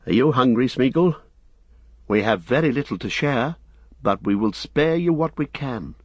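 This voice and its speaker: A man voicing a gentle, earnest, courteous hobbit in an audiobook, speaking in a kindly, measured and polite way. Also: medium pitch, expressive, husky, dry recording, highs cut off, pitched above the performer's own voice. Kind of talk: audiobook character acting.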